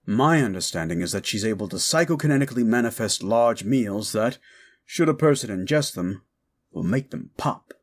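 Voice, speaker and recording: A man's voice: on the low side, expressive, normal speed. A man playing a nerdy, matter-of-fact scientist who hunts ghosts in an audio drama, speaking in precise, technical terms. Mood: matter-of-fact, dry.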